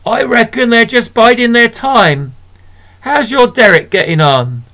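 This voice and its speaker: A man's voice: very high voice, expressive, measured pace, bright, forceful tone, pitched above the performer's own voice, heavily acted. A man voicing a plump, beaming older shopkeeper woman in a children's storybook, homely and chatty. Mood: kindly.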